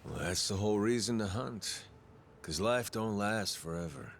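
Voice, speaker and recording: An actor playing a spirited aging gangster turned pirate captain in a video game, with slangy, folksy, drawling talk. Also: male voice, lowish voice, normal speed, a little hiss or hum, close to an everyday voice. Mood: reflective. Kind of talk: video game cutscene acting.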